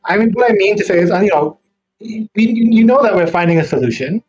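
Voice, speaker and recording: A man's voice: sits high, expressive, quick pace, faint music behind, low-quality recording. A man playing a tabletop game, talking casually and improvising dialogue.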